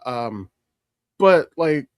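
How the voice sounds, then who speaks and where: A man's voice: a little high, lively intonation, extremely slow, no room sound, clean studio sound. A man co-hosting a podcast, chatting casually and giving blunt, emphatic, profanity-laced opinions on films. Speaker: podcast co-host. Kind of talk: casual opinionated film chat.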